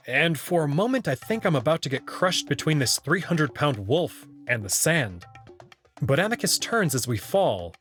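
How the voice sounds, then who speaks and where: A man's voice: a little high, fairly bright tone. A young man reading scripted first-person narration for a visual novel as its protagonist.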